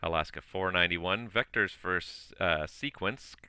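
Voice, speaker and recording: A man's voice: sits low, normal speed, pushed and bright, smooth, dry recording, clean studio sound. A man working as an online air traffic controller, giving clipped radio calls with weary casual asides while his voice is giving out. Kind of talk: ATC phraseology with casual asides.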